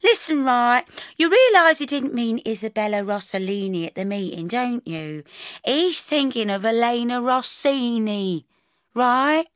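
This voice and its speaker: Woman acting blunt, concerned female workmate, high pitch. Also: animated, a little slow, no echo, studio quality. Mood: confiding.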